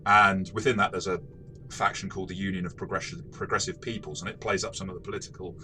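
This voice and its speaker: A man co-hosting a casual game review discussion, thoughtful and halting, often rephrasing mid-sentence. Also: low-pitched, steady tone, sharp tone, a little hiss or hum. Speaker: co-host of a game review show.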